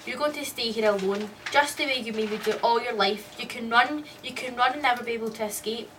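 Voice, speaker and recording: A woman playing a composed, quietly sinister and teasing woman in a radio drama. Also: fairly high pitch, brisk, recorded from a distance, background noise, close to an everyday voice. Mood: cold, menacing. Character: sinister dream-double woman, coolly taunting, falsely sweet.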